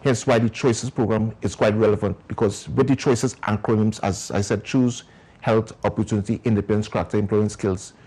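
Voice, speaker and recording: A man with a Trinidadian accent speaks in a low, husky, mellow voice. He explains his community work earnestly and at length, as a guest in a television interview. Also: fairly even intonation, fairly quick, rough recording. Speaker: community organization founder.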